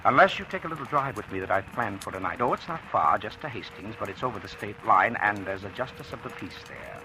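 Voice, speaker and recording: An actor playing a smooth, menacing blackmailer who is mockingly polite and taunting, in a 1940s radio drama style. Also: male voice, lowish voice, expressive, natural voice, rough recording. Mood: threatening, silky. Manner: suave, taunting, deliberate drawn-out politeness. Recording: old radio drama recording, music underneath.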